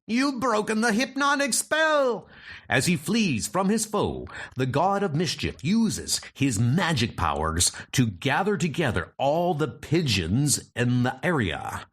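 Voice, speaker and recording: A man's voice: mid-range voice, huge pitch swings, measured pace, hardly any pauses, breathy edge. A man giving dramatic scripted narration for a comic book story.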